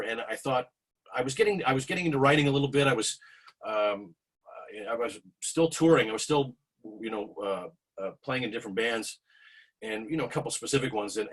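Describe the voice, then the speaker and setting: A man's voice: mid-range voice, fairly bright tone, slightly rough, rough recording. A man in his forties, a guest speaker recalling his past in a rambling way on a video call, with many restarts. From Canada. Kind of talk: casual storytelling on a video call.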